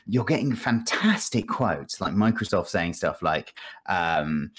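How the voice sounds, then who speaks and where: A man's voice: sits low, animated, a little slow, breathy edge. A British man hosting a casual gaming podcast, rambling and thinking aloud with a wry manner.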